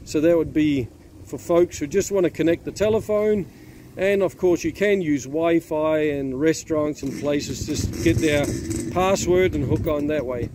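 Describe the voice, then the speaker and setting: Higher-pitched man vlogging over traffic noise. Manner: chatty, plain explaining to viewers. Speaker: vlog host. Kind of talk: casual informative vlog talk. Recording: noisy outdoor street with traffic.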